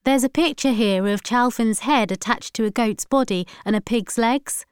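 A woman's voice: a little high, moderate pace, smooth, no echo, clean studio sound, voice pushed up, lightly acted. A woman voicing an admiring young female animal activist in an earnest, matter-of-fact way.

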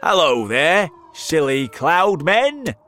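A man voicing a cocky, mischievous centipede in a children's story, loud, brash and taunting. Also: a little high, expressive, unhurried, slight background noise, voice pushed up. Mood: mocking bravado.

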